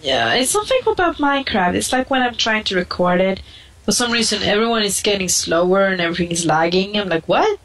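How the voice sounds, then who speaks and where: A woman's voice: medium pitch, animated, brisk, a bit bright, poor audio. A woman in casual voice chat, a little drunk and playful. She teases the others and eggs them on as they play. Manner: teasing, playful. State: tipsy.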